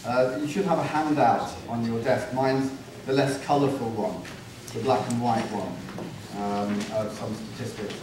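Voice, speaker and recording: Relaxed man speaking in an echoey hall. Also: mid-range voice, moderate pace, noisy background. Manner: self-deprecating, relaxed, with light humor. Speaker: university panelist. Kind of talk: conference talk. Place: large conference room. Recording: echoey hall, distant mic.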